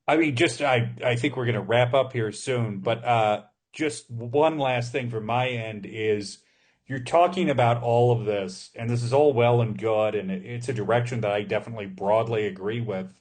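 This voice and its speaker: A man with a medium-pitched voice interviews a guest on a podcast. He talks conversationally and thoughtfully, working his way through long, measured questions.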